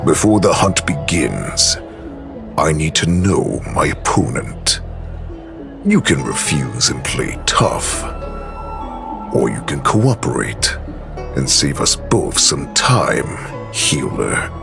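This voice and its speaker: An actor voicing a menacing male wolf-beast warlord in a video game cutscene, taunting and threatening in a slow, gravelly voice. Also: a bass voice, big pitch swings, full character voice. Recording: game cutscene with music behind.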